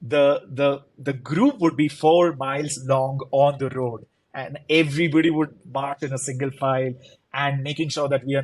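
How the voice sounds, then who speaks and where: A man's voice: medium pitch, poor audio. Earnest man, guest storyteller. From India. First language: Marathi. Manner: earnest, expansive storytelling with emphatic repetition. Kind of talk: conversational interview.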